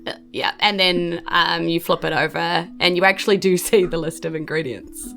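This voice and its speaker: A woman's voice: on the low side, steady tone, normal speed, gravelly, a bit bright, soft background music. A woman with a New Zealand accent casually narrating as game master, improvising in loose, conversational, trailing phrases.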